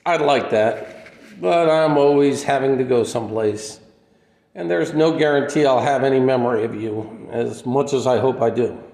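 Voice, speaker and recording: A man's voice: mid-range voice, normal speed, gravelly, darkish tone, natural voice. A man playing a kindly, lonely wandering healer in a radio play, speaking in an earnest, folksy, reflective way. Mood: fond farewell. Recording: echoey room with long reverb.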